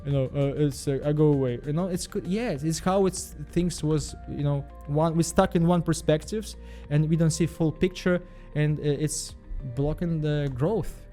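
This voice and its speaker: Halting Belarusian man. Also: fairly high pitch, warm tone, faint music behind. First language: Russian. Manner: halting, repeats words, frequent fillers. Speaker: vlogger. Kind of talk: casual self-help vlog monologue.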